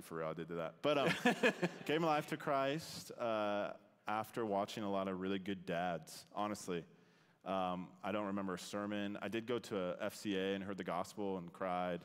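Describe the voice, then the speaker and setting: A man with a very low voice talks casually from a church stage, lively and quick to laugh. The room has a long reverb tail around him. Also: clean tone. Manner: animated, laughs while telling stories. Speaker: guest speaker. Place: church sanctuary. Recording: hall reverb.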